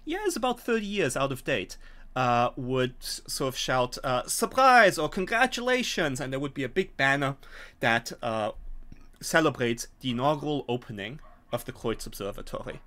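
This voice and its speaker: A middle-aged man, explaining a tabletop scenario as game master in an animated way. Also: mid-range voice, normal speed. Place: small home room, on a video call.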